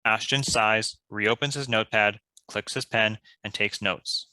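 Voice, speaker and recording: Table read narrator: crisp-edged bright voice, scripted delivery of stage directions. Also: medium pitch, steady tone, unhurried, poor audio.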